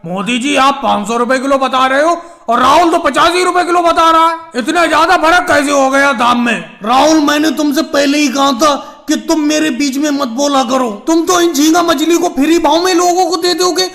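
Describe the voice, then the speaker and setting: A man voicing chatty, bickering male politician caricatures in an animated comedy skit, with a creaky voice. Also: extremely high pitch, moderate pace, hardly any pauses, heavily acted. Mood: haggling, exasperated.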